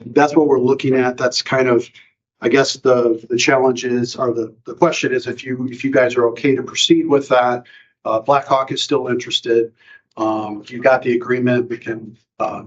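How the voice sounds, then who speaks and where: A man from county staff explains a software deal to a board, halting and full of fillers and restarts. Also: mid-range voice. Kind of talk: informal meeting presentation. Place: county board meeting room. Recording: dull lo-fi meeting recording.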